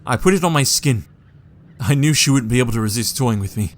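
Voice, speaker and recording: A man's voice: mid-range voice, expressive, fairly quick, a little hiss or hum, close to an everyday voice. A man as an intense, haunted Victorian hero. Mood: grave. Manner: earnest, intense. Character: defiant, haunted young Victorian vampire-hunting gentleman. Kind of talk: horror audio drama acting.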